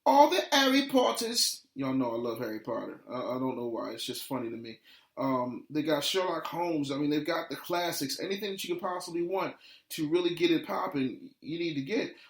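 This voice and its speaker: A man's voice: on the high side, animated, brisk. A man hosting a podcast with hyped, slangy, effusive talk, moving between casual interview and ad reads. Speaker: podcast host. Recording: roomy, echoey sound.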